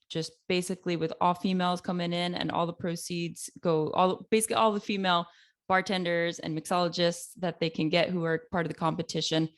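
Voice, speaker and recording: A woman hosting a casual interview podcast, chatty and upbeat, laughing often. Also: lowish voice. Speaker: podcast host.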